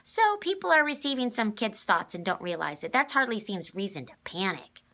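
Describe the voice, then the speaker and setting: A woman's voice: medium pitch, big pitch swings, moderate pace, pushed and bright, pitched above the performer's own voice, heavily acted. A woman voicing a sour, bossy young girl who talks in a blunt, demanding way, in a storybook reading.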